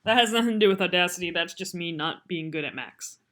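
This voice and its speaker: A woman talks through a software tutorial in a casual, unscripted, rambling and self-deprecating way. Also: fairly low pitch, fast. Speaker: podcast editor giving a software tutorial. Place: voice-over on a computer screen recording.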